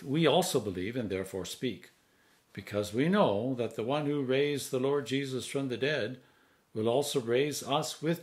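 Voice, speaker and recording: A man in his sixties, a priest, reading scripture aloud in a measured, solemn manner with deliberate phrasing. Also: medium pitch. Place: home study, indoors.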